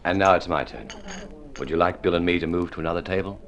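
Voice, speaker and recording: An actor in golden age radio drama playing an affable garage attendant and sometime writer, dry and sardonic in manner. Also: male voice, low-pitched, quick pace, a bit bright, highs cut off, a little hiss or hum.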